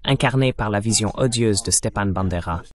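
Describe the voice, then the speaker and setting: A man's voice: fairly low pitch, fairly quick. A man delivering dubbed political commentary as the translated voice of an interview guest.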